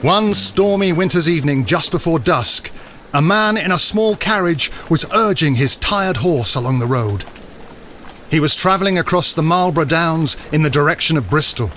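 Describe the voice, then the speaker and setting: A man's voice: a little high, lively intonation, normal speed, a bit bright, a little hiss or hum. A man narrating a classic story as scripted, dramatised literary storytelling.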